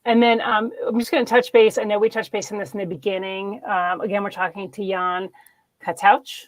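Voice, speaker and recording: Fast-talking woman host. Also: medium pitch, flat delivery, dry recording, rough recording. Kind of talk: interview hosting.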